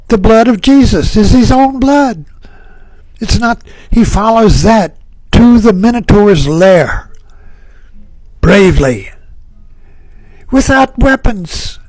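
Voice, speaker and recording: A man giving a slow, reflective spiritual talk, musing aloud and repeating phrases for emphasis. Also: high-pitched, a little mellow, dry recording, poor audio. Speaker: spiritual speaker. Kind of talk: reflective spoken talk on myth.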